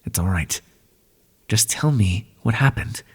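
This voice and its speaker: A man voicing an ancient god of the underworld in an ASMR roleplay, speaking in a soft, breathy, measured way and reassuring the listener tenderly. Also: on the low side, lively intonation, big-room sound, exaggerated voice. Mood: gentle, soothing.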